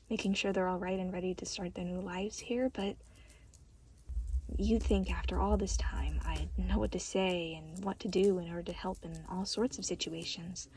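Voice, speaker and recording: A woman voicing a gentle, caring and slightly awkward deity in an audio roleplay, soft-spoken and hesitant, with a breathy voice. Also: fairly low pitch, a little hiss or hum, lightly acted. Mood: soft, apologetic. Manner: soft-spoken, hesitant, polite, motherly endearments. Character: gentle, awkward, caring deity who comforts souls in limbo. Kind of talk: audio roleplay addressed to the listener.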